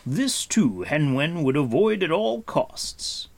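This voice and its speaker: A grave, patient warrior of a fantasy storybook, as a male reader plays him, speaks in raised, swooping tones. He is deliberate and formal, giving each phrase commanding weight at a slow pace. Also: a little high, very animated, gravelly, voice pushed up, full character voice. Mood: grave warning.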